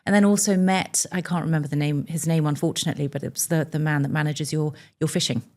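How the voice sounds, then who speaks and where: Low-voiced chatty woman podcast host. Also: fairly even intonation, fast talker, a little mellow. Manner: chatty, hesitant, frequent restarts. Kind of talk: casual podcast interview.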